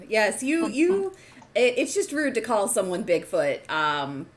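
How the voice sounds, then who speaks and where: A woman hosting a tabletop game as game master, with a playful, animated manner. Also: medium pitch, slow pace.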